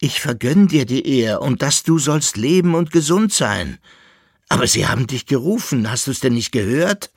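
A man's voice: medium pitch, expressive, normal speed, slightly rough, dry recording, clean studio sound, pitched above the performer's own voice. A man voicing an anxious, scolding and wailing musician in a dramatic audiobook story. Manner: loud, reproachful, lamenting.

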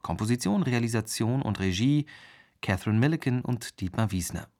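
A man's voice: mid-range voice, animated, normal speed, a little mellow. A man reading scripted credits as the announcer of a radio play.

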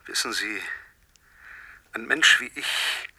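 A cold, vengeful man in a radio drama, with a piercingly tense, rough-edged voice. He phrases his threats politely and deliberately, savouring each taunt like someone long overlooked. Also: mid-range voice, bright, forceful tone, exaggerated voice. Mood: bitter, accusing. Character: vengeful male stranger, self-styled nobody, coldly menacing caller. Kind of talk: radio play acting.

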